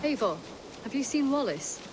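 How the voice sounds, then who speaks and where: A woman's voice: high voice, very animated, moderate pace, noisy background. An actress playing a worried, earnest young village woman in a video game, speaking anxiously.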